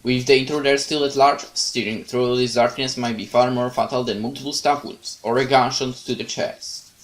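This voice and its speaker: A man's voice: medium pitch, steady tone, moderate pace, a little hiss or hum, rough recording. A man reading a horror visual novel aloud as a let's play host, dramatic narration with casual asides.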